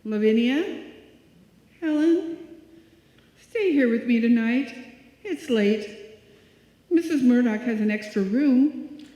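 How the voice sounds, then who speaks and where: A woman's voice: a little high, expressive, slow, long pauses, warm tone, slight background noise. A woman playing a timid, fretful friend, hesitant and pleading, in live old-time radio suspense style. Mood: anxious, pleading. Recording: echoey hall, dull lo-fi sound.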